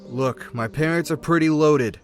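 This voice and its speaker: A man's voice: on the high side, normal speed, faint music behind, lightly acted. A man voicing an easygoing young male rat drifter who talks in a laid-back, friendly, chatty way in a fan comic dub. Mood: persuasive.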